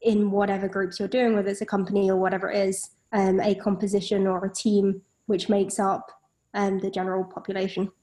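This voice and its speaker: A woman's voice: mid-range voice, flat delivery, a little fast, dark tone. A woman co-founder on a panel, speaking hesitantly with many fillers. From Britain. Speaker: company co-founder, panel guest. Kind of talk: casual chat.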